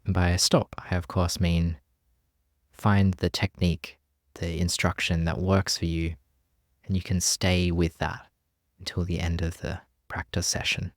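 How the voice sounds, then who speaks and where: Man, meditation teacher, low pitch, gentle delivery, long pauses, dry sound. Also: flat delivery, no room sound. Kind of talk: guided meditation.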